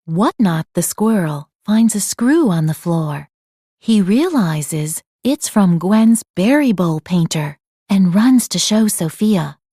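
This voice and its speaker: A woman narrating a children's storybook aloud in an expressive style. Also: medium pitch, slightly rough, no room sound, clean studio sound.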